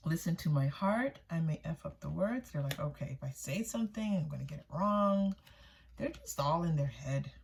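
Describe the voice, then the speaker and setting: A woman's voice: deep voice, lively intonation, moderate pace, vocal fry, slight background noise. A woman giving a casual, intuitive tarot reading for viewers, often repeating phrases for emphasis. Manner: voices another person's thoughts in first person. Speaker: tarot reader, channel host.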